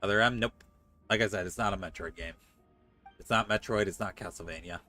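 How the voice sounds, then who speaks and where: A man talks casually on a game livestream. His voice sits a bit low but has a bright, forward edge. Light music plays behind him. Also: brisk. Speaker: streamer playing a guessing game with chat. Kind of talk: casual game livestream chat, reading dialogue aloud.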